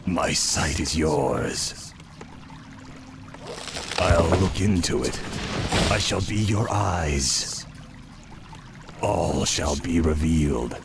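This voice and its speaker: An ominous undead servant from a video game. He is deep and gravelly, drawing words out very slowly with solemn obedience. Loud game noise surrounds the voice. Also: expressive, music in the background, exaggerated voice. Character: sombre, obedient undead servant of a dark master. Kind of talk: video game unit acknowledgements. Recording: loud noise and game sound effects behind.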